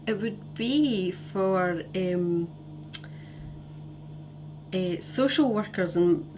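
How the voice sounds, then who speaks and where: Scottish mum in her middle years, soft hesitant answers, lowish voice, noisy hum behind. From Scotland. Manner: gentle, pausing with many fillers. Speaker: interviewee, parent carer.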